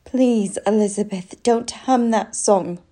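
A woman playing a gentle, dignified young daughter in a radio drama, polite and quietly hurt. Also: mid-range voice, slow. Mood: pained. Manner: polite, restrained.

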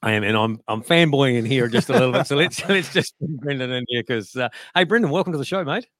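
A man co-hosting a podcast, speaking enthusiastically but haltingly with many pauses. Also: medium pitch, lively intonation, a bit bright, no echo, studio quality. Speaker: podcast co-host. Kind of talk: casual podcast chat.

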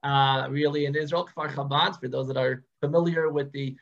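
A man's voice: fairly high pitch, level tone, moderate pace, vocal fry, dull audio. A man hosting a podcast, asking questions in an upbeat, encouraging way. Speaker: podcast host. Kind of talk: podcast interview.

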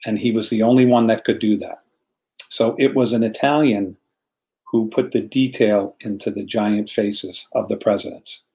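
A man's voice: on the low side, steady tone, normal speed, dark tone, poor audio. An American man, a guest in a casual online chat, telling a story earnestly and repeating words as he searches for them. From United States.

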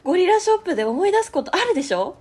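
Woman acting an insistent, pleading shop owner, animated. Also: very high voice, rapid-fire, pitched above the performer's own voice. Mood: desperate pleading. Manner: dramatic, increasingly desperate begging. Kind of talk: amateur radio drama acting.

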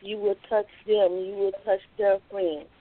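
A woman caller praying aloud earnestly, with steady repeated address to God. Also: mid-range voice, a little slow. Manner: earnest, steady prayer phrasing. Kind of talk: spoken prayer. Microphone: phone call. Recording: tinny phone line.

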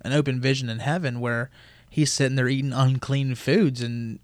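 A man explains his points as a podcast co-host in a loose, conversational way. He often throws in "dude" and "you know," and his words come out in quick bursts. His voice sits in the middle of the male range and sounds dry and close on the mic. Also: medium pitch, steady tone, no room sound.

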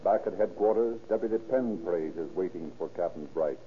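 Low-voiced vintage American radio narrator, man. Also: normal speed, music in the background. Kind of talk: scripted 1930s radio drama narration. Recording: tinny old radio recording.

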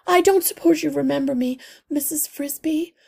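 A woman's voice: sits high, moderate pace, gravelly, warm tone, pitched above the performer's own voice. A woman voicing an anxious, polite mother mouse who speaks in an earnest, worried way for a children's storybook. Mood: eager, polite. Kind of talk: children's storybook read-aloud.

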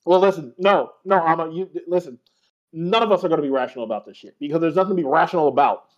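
A man's voice: on the high side, darkish tone. A man co-hosting a football podcast, talking bluntly and emphatically with swearing. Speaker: podcast co-host. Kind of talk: casual football podcast chat. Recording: slightly dull lo-fi audio.

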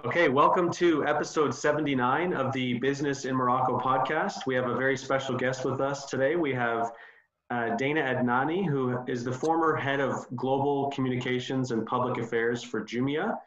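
Man, podcast host, relaxed questions, echoey room, dull muffled audio. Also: mid-range voice, normal speed. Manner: easygoing, laughs along. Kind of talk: interview hosting. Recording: roomy, muffled lo-fi sound.

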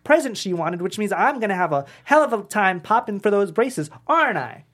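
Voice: medium pitch, sing-song, fairly quick, a bit bright. An actor playing an exasperated, sarcastic down-on-his-luck working man, argumentative, at a comedy table read. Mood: uneasy, resigned.

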